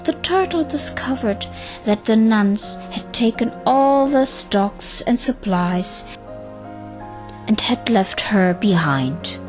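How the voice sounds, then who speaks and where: A woman's voice: fairly high pitch, expressive, slow. A woman narrating a scripted audio drama as a storyteller. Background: music.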